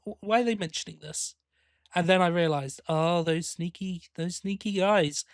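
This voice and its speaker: A man with a fairly high-pitched voice co-hosts a podcast in an easy, conversational style. He often restarts and repeats his words as he talks. The sound is very dry, with no room echo. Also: moderate pace. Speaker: podcast co-host. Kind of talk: casual chat about audio dramas.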